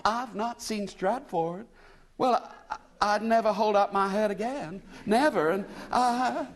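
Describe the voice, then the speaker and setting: A man voicing a weepy, gushing young American woman tourist with a high, tearful voice and an American accent. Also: animated, normal speed. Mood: pleading. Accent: American put on.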